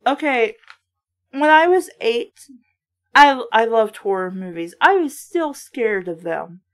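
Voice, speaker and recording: Female book reviewer, high-ish voice, sardonic and blunt, casual read-and-react delivery. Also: animated, takes it slowly. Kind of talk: casual read-aloud with running critical commentary.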